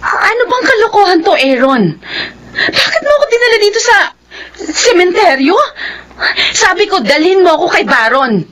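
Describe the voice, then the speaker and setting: A woman's voice: big pitch swings, normal speed, fairly bright tone, full character voice. A woman playing a bitter, grieving young jilted bride in a Filipino melodrama, crying and wailing her words. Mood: bitter, tearful. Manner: tearful, high, wailing delivery. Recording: music behind the voice.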